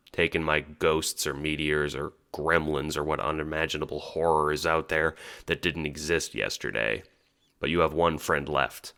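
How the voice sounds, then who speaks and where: Very deep gravelly male narrator. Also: pushed and bright. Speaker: audio drama narrator and creator. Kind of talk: second-person scripted narration. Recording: background music under the voice.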